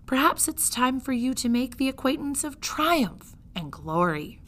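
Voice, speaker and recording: Woman acting as scheming gentleman rat, storybook character, flowery manners, flattering, lively melodic delivery. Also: high voice, measured pace, voice pushed up, exaggerated voice. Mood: flattering, smooth. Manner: formal, flowery, polite phrasing.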